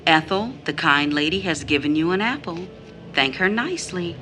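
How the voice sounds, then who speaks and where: A woman's voice: fairly low pitch, lively intonation, normal speed, sharp tone, slight background noise. An actress playing a tired, numb, poor mother, wary and protective, with blunt questioning, in an audio drama. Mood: protective, coaxing. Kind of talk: scripted audio drama acting.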